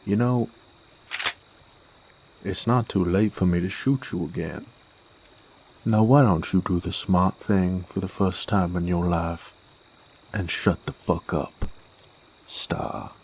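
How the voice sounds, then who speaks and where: Man playing a deep, drawling Western bounty hunter. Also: fairly even intonation, takes it slowly, long pauses, a little mellow, a little hiss or hum. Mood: irritated, gruff. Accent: American Western drawl. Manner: folksy, taunting, drawling. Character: gruff, cocky male werewolf bounty hunter of the Old West. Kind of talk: roleplay audio drama monologue, addressed to a captive.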